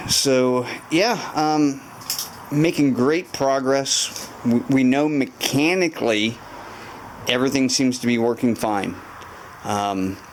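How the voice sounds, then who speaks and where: A man in his garage talking through a motorcycle repair to camera, thinking aloud with lots of fillers and restarts. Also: medium pitch. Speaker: motorcycle restoration vlogger. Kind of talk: casual garage walkthrough to camera. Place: home garage.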